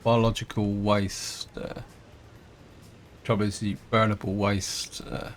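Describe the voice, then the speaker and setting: A man, croaky from a throat infection, casually thinking aloud as he plays through a strategy game, with lots of fillers and restarts. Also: fairly low pitch, flat delivery, takes it slowly, faint music behind. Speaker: gameplay host. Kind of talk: casual let's-play commentary.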